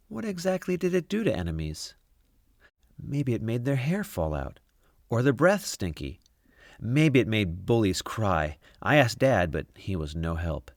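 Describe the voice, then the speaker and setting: Expressive low-voiced man reading a story. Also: no echo, clean studio sound. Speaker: storybook reader. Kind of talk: expressive children's story read-aloud.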